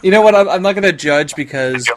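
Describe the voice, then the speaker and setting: Higher-pitched man, casual podcast host. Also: expressive, quick pace. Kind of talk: casual chat interview.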